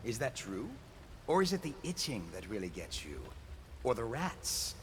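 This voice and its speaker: Male actor as cruel jailer, mocking, animated, mid-range voice, game dialogue, noisy background. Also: mid-range voice, moderate pace, lightly acted. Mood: taunting. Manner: taunting, expressive. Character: sadistic, taunting male jailer. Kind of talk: video game voice acting. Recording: clear background noise.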